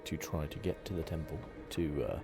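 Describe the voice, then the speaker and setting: A soft-spoken man hosting a relaxed, informal ASMR video game playthrough. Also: very deep voice, a little fast. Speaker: gaming channel host. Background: game music.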